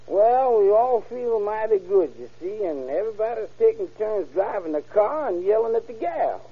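Vintage radio drama: folksy Southern soldier, evasive drawl, very high husky voice, muffled lo-fi recording. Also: male voice, big pitch swings, moderate pace, heavily acted. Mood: meek, evasive. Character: slow-witted, folksy, evasive young country soldier. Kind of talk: classic radio drama acting. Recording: dull, lo-fi old radio recording.

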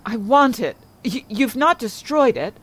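A woman's voice: on the high side, expressive, a little slow, a bit bright, husky, full character voice, poor audio. A woman playing a frantic, grieving elderly mother in a horror audio drama, with a dramatic, insistent delivery. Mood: frantic, obsessive.

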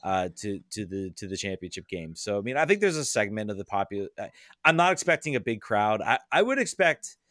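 A man's voice: lowish voice, sing-song, fairly quick, fairly bright tone, no room sound, studio quality. A man chatting casually on a podcast as a soccer fan, rambling with many restarts and fillers.

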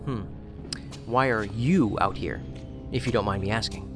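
An actor in an audio drama playing an inquisitive male federal agent with a measured, dry, probing delivery. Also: lowish voice, natural voice. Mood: curious, probing. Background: music.

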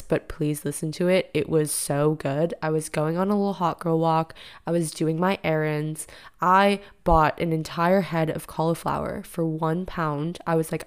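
A young woman hosting a solo podcast in a casual, rambling way, her voice raspy and a bit deeper because she has just woken up. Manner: chatty, rambling, filler-heavy. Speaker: podcast host. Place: living room.

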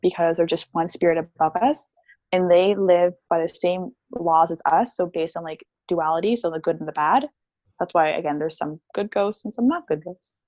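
A woman's voice: fairly low pitch, moderate pace, darkish tone, no echo. A young woman talking as a podcast guest about her healing practice, chatty and casual, with many fillers. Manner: chatty, explains with lots of "like" and "um".

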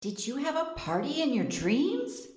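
Woman as a soothing, high-voiced mom. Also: wildly swooping pitch, darkish tone, exaggerated voice. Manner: soothing, unhurried. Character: soothing doting mother in a spoof commercial. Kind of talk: children's parody advert acting. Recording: roomy echo with faint music.

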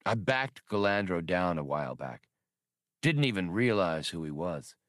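A man's voice: sits low, big pitch swings, moderate pace, fairly bright tone, dry recording, clean studio sound. Man playing a cocky, brusque space smuggler. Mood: guarded. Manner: brusque, casual. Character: cocky male space smuggler, brash and streetwise.

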